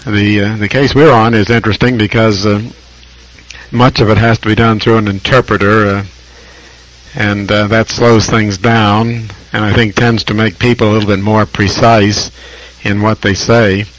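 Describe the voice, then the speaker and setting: An American man with a fairly low voice talks over vintage shortwave radio. He chats casually as an amateur operator, picking his words deliberately and reflectively, with halting phrases and long pauses. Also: little pitch movement, fairly bright tone, poor audio. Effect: shortwave radio transmission. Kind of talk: casual ham radio conversation.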